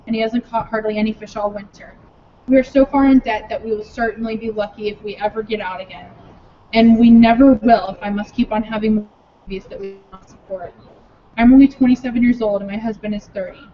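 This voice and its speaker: Woman reading letters out loud, slow and earnest, kinda muffled lo-fi sound. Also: mid-range voice, slight background noise. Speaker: speaker at a filibuster. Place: state capitol building. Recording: dull lo-fi recording.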